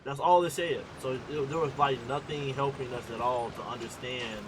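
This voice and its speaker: A man's voice: mid-range voice, steady tone, moderate pace, background noise. A man giving casual live commentary on a game, informal, slangy and playful. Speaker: gaming streamer reacting to a story quest. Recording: game music behind the voice. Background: game music.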